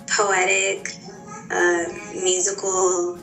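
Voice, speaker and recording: A young woman from Hampton, Virginia, a student, answering slowly and unsurely. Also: on the low side, completely flat delivery, vocal fry, a bit bright, soft background music. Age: young adult. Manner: slow, halting, unsure. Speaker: student interviewee. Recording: echoey, distant from mic.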